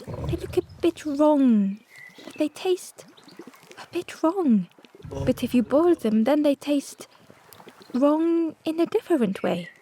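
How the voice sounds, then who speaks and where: A woman's voice: high voice, expressive, takes it slowly, pauses often, warm tone, a little hiss or hum. A woman voicing a kindly, wistful game cook who muses in a hesitant, folksy way. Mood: wry, musing.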